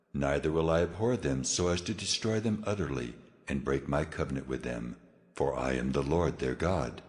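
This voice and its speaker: An actor playing God, a solemn and commanding divine voice in a grave, stately, declamatory scripture drama. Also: male voice, very deep voice. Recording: long cavernous reverb.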